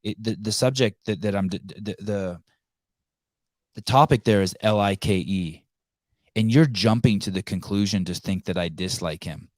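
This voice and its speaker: A man's voice: lowish voice, normal speed, a little mellow, no echo, studio quality. A man whose first language is Armenian, hosting a casual podcast conversation, rambling with frequent restarts and blunt profanity. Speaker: podcast host. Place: home in California.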